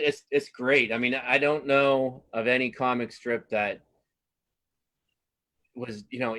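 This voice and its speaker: Man, middle-aged, lowish voice, casual interview chat, enthusiastic, emphatic repetition. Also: fairly bright tone. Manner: enthusiastic, repeats words for emphasis. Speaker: guest cartoonist and comics writer.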